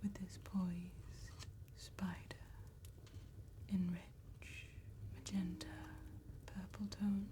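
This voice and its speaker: Very slow, whispering vampire tattooist played by a woman. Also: mid-range voice, flat delivery. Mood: calm, courteous. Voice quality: breathy whisper. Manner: formal, unhurried, precise, gentle. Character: elegant, courteous female vampire tattoo artist presenting gothic designs. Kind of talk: soft-spoken ASMR roleplay, mostly whispered.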